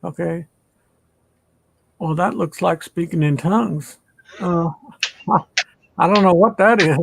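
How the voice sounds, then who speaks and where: A retired older pastor hosting a casual online chat, rambling with many fillers and restarts. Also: male voice, high-pitched, slow pace, gravelly, slightly rough, dry recording, low-quality recording.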